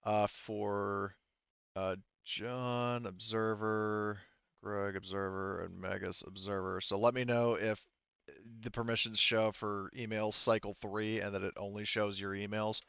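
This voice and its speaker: A man talking casually as game master to his players in a halting, hedging way. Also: lowish voice, flat delivery, gravelly, a bit bright, clear, resonant tone, no room sound, muffled recording. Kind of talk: casual game-running talk among players. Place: online voice session.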